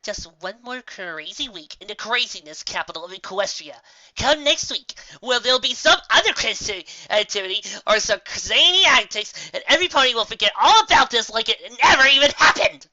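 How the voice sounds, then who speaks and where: A woman's voice: a little high, expressive, normal speed, sharp tone, no echo, lo-fi sound, pitched above the performer's own voice. A woman voicing a smug, dismissive unicorn bureaucrat in a storybook, lecturing in a pompous, officious way.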